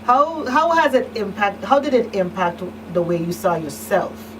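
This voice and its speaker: A woman's voice: medium pitch, big pitch swings, normal speed. A woman hosting a talk show, interviewing conversationally and attentively, feeling her way through questions. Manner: attentive, rephrases questions, frequent murmured acknowledgments. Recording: distant mic, noisy room hum.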